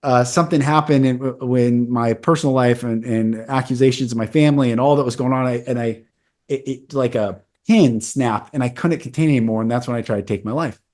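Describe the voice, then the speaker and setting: A man's voice: mid-range voice, fairly quick, darkish tone. A man in his forties with a mid-range voice hosts a podcast. He shares a personal story in a candid, earnest, conversational way. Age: 40s. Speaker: podcast host. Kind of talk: casual conversation.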